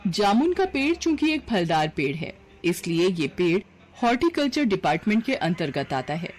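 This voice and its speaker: A woman narrating a scripted story in an expressive storytelling manner. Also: fairly low pitch, normal speed.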